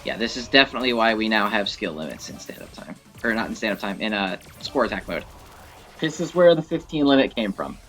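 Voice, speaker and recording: A man's voice: fairly low pitch, lively intonation, a little fast, a bit bright, soft background music. A man giving casual, opinionated gameplay commentary, thinking aloud in a conversational way. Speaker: gaming video host.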